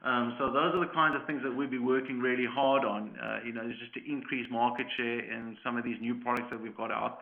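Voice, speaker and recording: A man speaking as a company executive, answering questions on an earnings call in hesitant, measured corporate phrasing. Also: medium pitch, gravelly. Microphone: conference call line. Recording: muffled lo-fi conference call line.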